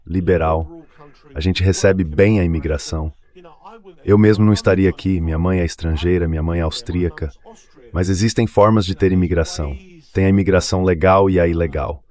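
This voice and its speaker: A man's voice: low-pitched, a little mellow. A man speaking as an interview guest, commenting on politics in a conversational, emphatic way that leans on repetition.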